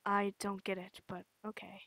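A woman voicing a cool, quietly sincere teenage boy in a comic dub, subdued and deadpan. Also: mid-range voice, moderate pace, gravelly, no echo, pitched below the performer's own voice.

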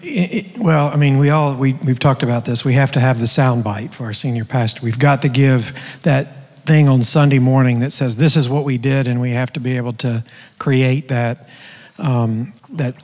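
A man's voice: mid-range voice, normal speed, darkish tone. A middle-aged man, a mission pastor, speaking earnestly on a stage panel with many restarts. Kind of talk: panel discussion. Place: church stage. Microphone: handheld mic. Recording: long hall reverb.

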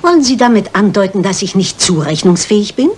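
An actress playing a sharp-witted, stubborn older lady detective in a German crime radio play, speaking briskly and precisely. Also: medium pitch, expressive, a little hiss or hum, lightly acted. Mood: offended, firm.

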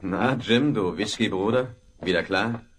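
A good-natured frontier sheriff, played by a man in an old radio play. His lowish voice is soothing with worried folk and teasing with troublemakers. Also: a little slow, background noise, close to an everyday voice. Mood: joshing. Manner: soothing, joshing. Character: calm good-natured male small-town sheriff. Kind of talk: 1970s German radio play acting.

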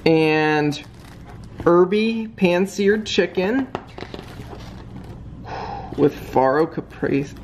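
A man's voice: high voice, drawn-out words, long pauses, slight background noise. A young man co-hosting a casual cooking vlog in his home kitchen, plainly explaining each step. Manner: easygoing, explaining steps plainly. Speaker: vlog co-host. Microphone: handheld camera.

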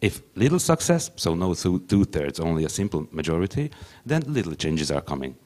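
A young man whose first language is Hungarian, speaking English haltingly on a conference panel into a handheld mic, with many restarts. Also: sits low, very animated, darkish tone. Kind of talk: panel discussion.

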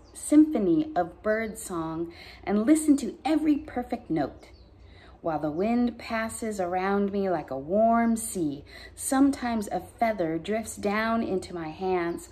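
Slow, mellow middle-aged woman at story time. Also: medium pitch, lively intonation, slight background noise. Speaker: pastor reading story time for children. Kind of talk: reading poems aloud with casual asides to children. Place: bright dining room at home.

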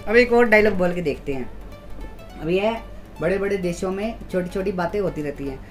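A man's voice: high-pitched, expressive, a little fast, soft background music. A young man from India hosting a casual challenge vlog, chatting to viewers in a small room at home. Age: young adult. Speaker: vlog host.

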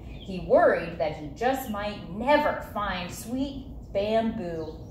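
A woman in her thirties reading a children's picture book aloud in an animated, sing-song storytelling manner. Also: mid-range voice, slow. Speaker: zoo educator and story reader. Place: zoo viewing area beside glass habitat. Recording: roomy echo, light background noise.

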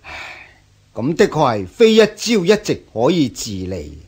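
An actor playing a patient, principled prefect in a radio drama, measured and earnest, with a Hong Kong Cantonese accent. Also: male voice, a little high, huge pitch swings, darkish tone, breathy edge, full character voice. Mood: regretful. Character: patient, principled male scholar-official, a prefect.

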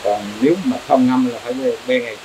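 A man's voice: medium pitch, fairly even intonation, quick pace. A middle-aged man, a shrimp farmer, explaining his methods in a plain, matter-of-fact, rambling way during an on-site interview in an open farm shed. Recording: noisy outdoor farm recording.

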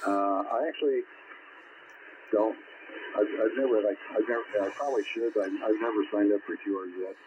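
A man's voice: on the low side, fairly even intonation, moderate pace, darkish tone. A middle-aged or older American man, chatting casually as an amateur radio operator. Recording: dull, staticky shortwave radio transmission. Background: radio static.

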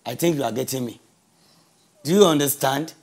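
A middle-aged man playing a calm, authoritative father who speaks in a measured, reassuring way. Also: mid-range voice, lightly acted. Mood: firm. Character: calm authoritative father settling son's fate.

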